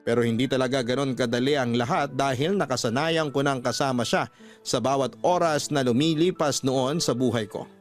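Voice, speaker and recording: A man with a Filipino accent narrating a scripted, sentimental letter as a radio storyteller. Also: medium pitch. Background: background music.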